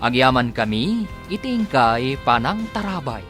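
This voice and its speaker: A woman's voice: rumbling low, sing-song, unhurried, a bit bright, faint music behind. A woman announcing a radio drama serial and its credits in a scripted style.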